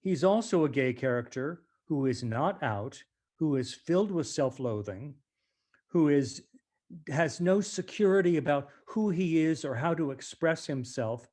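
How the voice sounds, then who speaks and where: An American man, an actor being interviewed, speaking reflectively and deliberately, leaning on key words for emphasis. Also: medium pitch, darkish tone. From United States.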